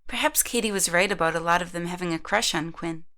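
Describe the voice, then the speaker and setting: A woman's voice: fairly low pitch, fast, pushed and bright. Woman narrating an audio drama story.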